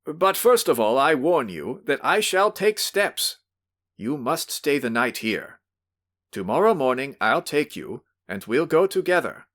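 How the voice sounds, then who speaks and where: A man voicing a stern, angry gentleman who shouts orders in a storybook reading. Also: lowish voice, expressive, measured pace. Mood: commanding. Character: angry, commanding middle-aged gentleman.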